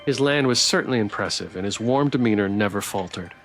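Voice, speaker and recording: A man's voice: lowish voice, a little fast, soft background music. A man streaming a visual novel, reading its text aloud with playful, theatrical commentary and joking asides.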